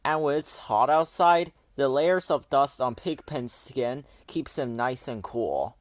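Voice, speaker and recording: A man's voice: medium pitch, lively intonation, takes it slowly, no room sound. A man narrating a children's picture book aloud as a storyteller.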